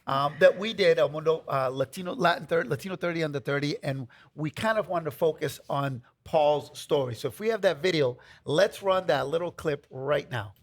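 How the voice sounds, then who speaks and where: A man's voice: a little high, normal speed, few pauses. A man hosting and moderating a panel, upbeat and jokey as he introduces guests and segments. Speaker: host.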